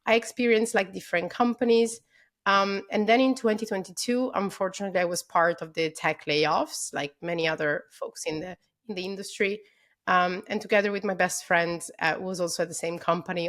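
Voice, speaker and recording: A woman's voice: lowish voice, fairly even intonation, a little fast, fairly bright tone. A woman in her thirties from Italy, with an Italian accent, talking as a podcast guest over a video call, eager and full of fillers. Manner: enthusiastic, many fillers like "um" and "like". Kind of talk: casual interview conversation.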